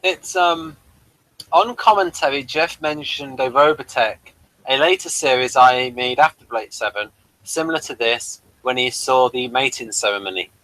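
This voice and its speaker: A man's voice: medium pitch, normal speed, sharp tone, no room sound, poor audio. A man talking casually as co-host of a review, in a hesitant, rambling way. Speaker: co-host of a sci-fi TV episode review. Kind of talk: casual chat reviewing slides.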